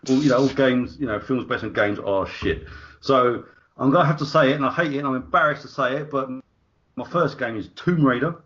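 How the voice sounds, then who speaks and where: A man chatting casually on a podcast panel in a halting, self-deprecating and crude way. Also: medium pitch, a bit bright, muffled recording. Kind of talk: casual chat.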